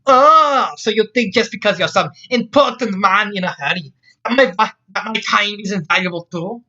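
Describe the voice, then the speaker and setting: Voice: mid-range voice, expressive, fairly quick, sharp tone, lo-fi sound, voice pushed up. A storyteller voicing a feisty, hot-tempered Scottish warrior woman with a Scottish accent, reading fan fiction aloud. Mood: angry, offended.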